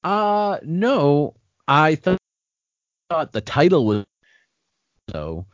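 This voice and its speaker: A man co-hosting a podcast in casual chat, joking, animated, often restarting his sentences. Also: fairly high pitch, drawn-out words, dry recording. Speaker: podcast co-host. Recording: dry, dull lo-fi sound.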